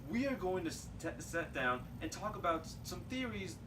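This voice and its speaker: A man with a sore throat co-hosting a casual chat, halting, with many restarts. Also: high-pitched, sing-song, far from the mic, noisy background. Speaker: co-host.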